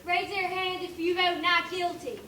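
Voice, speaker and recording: Procedural female pig jury leader stage actress, deliberate, distant echoey recording with noise. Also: very high-pitched, steady tone, bright, forceful tone. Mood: businesslike. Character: orderly pig jury leader taking votes. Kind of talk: amateur stage play acting.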